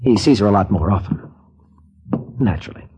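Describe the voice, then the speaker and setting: A man's voice: fairly low pitch, lively intonation, a little fast, a little mellow, slightly rough. An actor playing a polite, guarded middle-aged male theater director who speaks with hesitation, in 1940s radio style.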